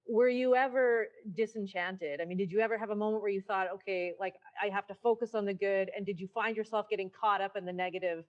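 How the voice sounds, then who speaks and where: A woman's voice: mid-range voice, steady tone, quick pace, few pauses, muffled recording. A woman interviewer asking long, probing questions that wander before getting to the point.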